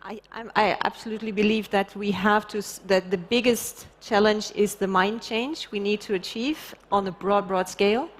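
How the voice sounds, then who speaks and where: A woman's voice: medium pitch, moderate pace, hardly any pauses. A middle-aged woman on a conference panel, searching for words with many ums. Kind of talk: panel discussion. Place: large conference stage. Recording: hall reverb.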